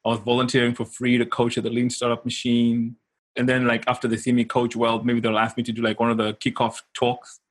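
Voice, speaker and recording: A man's voice: mid-range voice, monotone, fairly quick. A man from Zimbabwe, a guest telling personal stories in casual, emphatic conversation and often checking in with his listener. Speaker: interview guest, consultant and coach.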